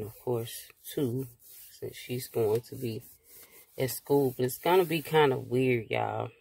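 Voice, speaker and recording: A woman in her thirties talks into a phone held at arm's length inside a parked car. Her voice is gravelly and her delivery unhurried. She rambles in a relaxed way, with plenty of fillers. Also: very deep voice. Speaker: vlogger. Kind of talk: casual car chat to camera.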